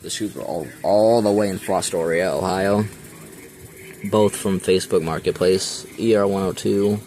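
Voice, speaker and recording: A man's voice: low voice, steady tone, normal speed, a little mellow, slight background noise, poor audio. A young man casually showing his radio collection in his bedroom, rambling with many ums, filmed point-of-view on a handheld camera. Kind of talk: casual show-and-tell.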